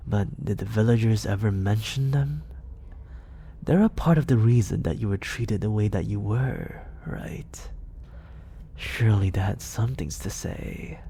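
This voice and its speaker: A man playing a scheming, teasing villain mentor in an intimate ASMR roleplay, with breathy, silky, theatrical delivery up close to the microphone. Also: fairly low pitch, dark tone, slight background noise, exaggerated voice. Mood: probing, coaxing. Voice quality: breathy, often dropping into whispers. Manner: theatrical, deliberate, silky persuasion. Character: smooth scheming villain mentor, teasing, grandiose, secretly fond. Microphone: close studio condenser microphone.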